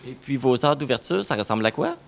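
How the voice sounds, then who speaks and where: A man's voice: medium pitch, lively intonation, fast talker, creaky, slight background noise. A man with a Québécois French accent, a local TV reporter asking easygoing questions in a café. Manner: friendly, informal questioning. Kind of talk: casual interview. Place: café on the street, indoors.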